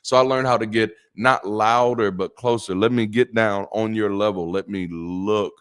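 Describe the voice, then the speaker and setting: Deep-voiced man preaching with humor. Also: normal speed, clean tone. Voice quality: naturally deep voice. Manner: lively, joking, repeats words for emphasis. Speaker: pastor. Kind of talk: sermon.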